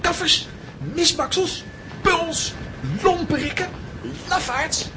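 A woman's voice: extremely high pitch, takes it slowly, background noise. A woman voicing a hot-tempered, earnest young boy adventurer in a children's audio drama. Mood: furious.

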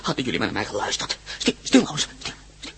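A fearful, whining pilot in a radio play, quick and animated over clear noise. He nags and repeats himself reproachfully. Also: medium pitch, full character voice. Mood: nervy, insistent. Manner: nervous, whining, repetitive. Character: fretful, complaining male pilot, fearful and reproachful. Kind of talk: radio drama acting.

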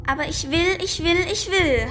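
A small girl in a radio play, with a very high voice. She is restless, excitable and keen to get her own way. Music runs softly behind her. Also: normal speed. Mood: whiny, stubborn. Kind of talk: radio drama acting.

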